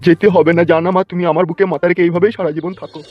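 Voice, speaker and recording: Guy doing a mouthy village uncle cartoon lover, pretty high voice, talks fast, bit muffled. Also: fairly even intonation, no room sound, lightly acted. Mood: gushing, smitten. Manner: brash, blustering. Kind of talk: comic village cartoon dubbing. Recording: muffled, music under the voice.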